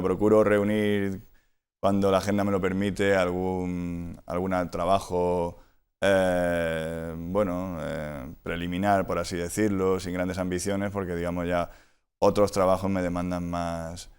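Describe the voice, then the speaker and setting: A man with a Madrid accent giving a conversational interview as a guest, in a measured way, often tagging phrases with a short question. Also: deep voice, little pitch movement, a little mellow, clean tone. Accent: Madrid Spanish. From Madrid, Spain. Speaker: interview guest, flamenco record collector.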